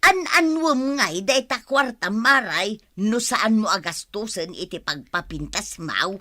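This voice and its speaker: An actress playing an outspoken, gossipy middle-aged village neighbour in an audio drama, needling others with an Ilocano Filipino accent. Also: female voice, mid-range voice, lively intonation, a little slow, bright, forceful tone, dry recording, clean studio sound. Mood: teasing.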